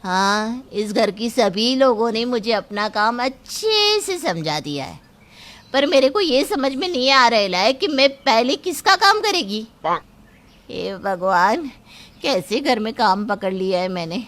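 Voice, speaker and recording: Woman playing outspoken working-class maid, complaining, highish voice, story character. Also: very animated, takes it slowly, a bit bright, exaggerated voice. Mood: flustered, grumbling. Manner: colloquial, complaining, streetwise slangy talk.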